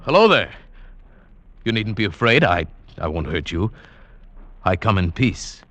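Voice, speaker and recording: Eager mountaineer-narrator, low register, dramatic golden-age radio delivery, music bed. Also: male voice, sing-song, measured pace, a bit bright. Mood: reassuring. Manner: theatrical, dramatic storytelling. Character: mountaineer from Bogota, narrating his adventure, eager and self-assured. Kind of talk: vintage radio drama acting, narration and dialogue. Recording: vintage radio broadcast with music behind.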